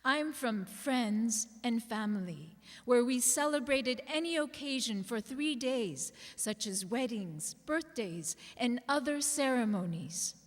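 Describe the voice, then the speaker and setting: Woman for live poetry reading: unhurried, measured, fairly high voice, hall reverb. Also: expressive. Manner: slow, measured delivery with deliberate pauses. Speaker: actor reading others' writing aloud. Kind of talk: dramatic reading of personal poems.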